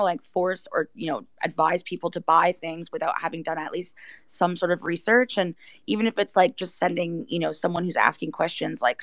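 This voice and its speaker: A woman's voice: lowish voice, no echo. A woman explaining things casually as a team member on a panel, earnest and conversational.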